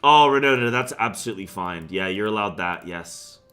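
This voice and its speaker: Young guy streaming, bright voice, rambly, tons of likes and ums, faint music behind. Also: lowish voice, animated, brisk. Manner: rambling, many fillers, gets worked up when ranting. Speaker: game streamer. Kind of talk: casual live-stream chat.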